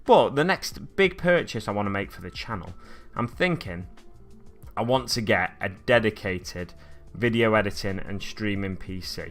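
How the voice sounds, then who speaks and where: A man's voice: lowish voice, animated, normal speed, fairly bright tone, faint music behind. A man with a slightly scratchy voice, rambling through stories and chatting with viewers as he hosts a livestream from his hobby desk at home. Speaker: streamer host. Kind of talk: casual livestream chat while painting miniatures. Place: home hobby desk with a glass cabinet behind.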